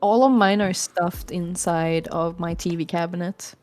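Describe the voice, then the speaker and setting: A woman's voice: on the low side, steady tone, unhurried, a little mellow, soft background music. A young woman with a Swedish accent chatting casually and frankly, sometimes swearing. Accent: Swedish-accented English. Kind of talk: casual chat.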